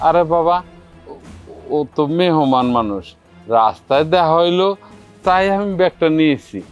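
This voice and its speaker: An actor playing a meek, gentle older farmer father who speaks softly to calm the quarrel. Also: on the high side, moderate pace, muffled recording, soft background music, natural voice. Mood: placating. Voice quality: gentle, placating. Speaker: actor in a family drama.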